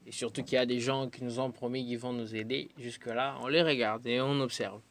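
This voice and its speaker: A man's voice: mid-range voice, steady tone, brisk. Hesitant man, musician guest. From Democratic Republic of the Congo. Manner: thoughtful, hesitant with frequent fillers. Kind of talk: radio interview answers.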